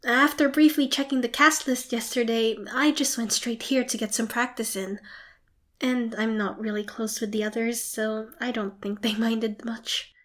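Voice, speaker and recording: A young woman playing a shy, nervous, excitable theatre student in an ASMR roleplay, hesitant, flustered and apologetic. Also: fairly high pitch, moderate pace, lightly acted. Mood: nervous, awkward. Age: young adult. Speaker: amateur voice actor.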